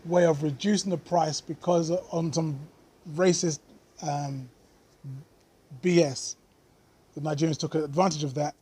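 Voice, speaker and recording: Brief: male vlogger, slightly high and husky voice, earnest unscripted commentary. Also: warm tone. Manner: earnest, thoughtful, with frequent pauses and fillers.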